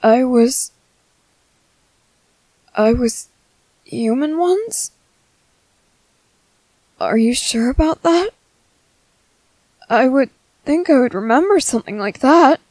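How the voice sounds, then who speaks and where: Soft shy merboy done by a woman, high voice, slow n hesitant, worried and comforting, asmr roleplay. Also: lively intonation, no room sound, full character voice. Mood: confused, hesitant.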